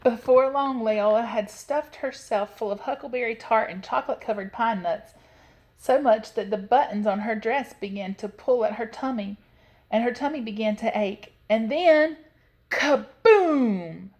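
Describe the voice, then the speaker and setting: A woman storyteller giving an expressive read-aloud narration of a children's story. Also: on the high side, moderate pace.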